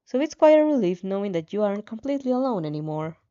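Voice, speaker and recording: A woman narrates fan fiction in an animated, swooping voice with a mellow tone. She drops in playful, casual reactions and sometimes trips over a word. The audio is dull and lo-fi. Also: mid-range voice, fast. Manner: animated, playful asides, stumbles over words.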